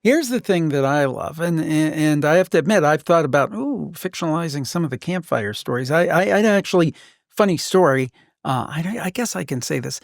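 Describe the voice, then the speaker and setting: A man's voice: fairly high pitch, expressive, brisk, creaky, no room sound, clean studio sound. A man hosting a podcast, speaking conversationally as an interviewer who shares asides of his own. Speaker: podcast host.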